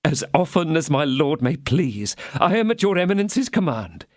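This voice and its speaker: A man doing a fawning, high-strung mercer. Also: big pitch swings, normal speed, darkish tone, dry recording, studio quality. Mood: gushing. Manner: anxious, gushing, disbelieving. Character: timid, fawning middle-aged male mercer.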